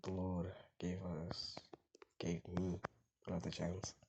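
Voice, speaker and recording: A young man with a strikingly deep, low voice and a gravelly fry. He rambles casually to the camera, and some words fall soft and mumbled. A loud noise floor with mouse clicks sits under the recording. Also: completely flat delivery, takes it slowly, highs cut off. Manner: rambling, soft and mumbled at times, hard to hear. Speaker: gaming vlogger.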